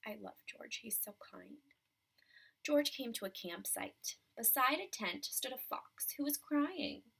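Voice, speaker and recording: Lady reading to kids, high bright voice, asks them questions as she goes. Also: animated, takes it slowly, gravelly, slight background noise. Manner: addresses young listeners, explaining and asking questions. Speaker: storybook reader. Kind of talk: expressive children's read-aloud.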